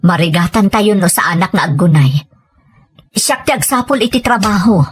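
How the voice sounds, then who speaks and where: A woman's voice: medium pitch, animated, moderate pace, husky. A woman playing a pressured, determined wife and mother in an Ilocano audio drama, speaking insistently with a Filipino accent. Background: music.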